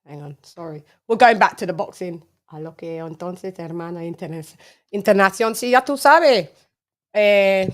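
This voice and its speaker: A woman with an English accent hosting a casual live chat, laughing often and switching between English and Spanish. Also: on the low side. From England. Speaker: livestream host.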